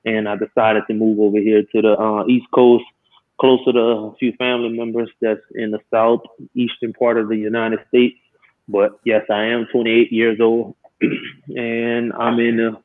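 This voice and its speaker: A man in his twenties, a guest, introducing himself in a relaxed, hesitant way with many fillers. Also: mid-range voice, no room sound. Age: 20s. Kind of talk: casual self-introduction on a call. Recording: tinny dry phone line.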